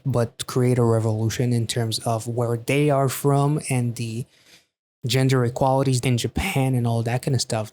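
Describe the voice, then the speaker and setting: A man's voice: medium pitch, darkish tone. A man hosting a music reaction, talking casually and enthusiastically about a song and its lyrics, with some offhand swearing.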